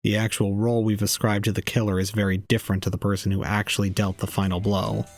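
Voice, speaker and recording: Low-voiced cold young man, acted by a man, gravelly and flat, deliberate audio drama fatalist. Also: no echo. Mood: coldly logical. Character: cold young man preaching despair with detached logic.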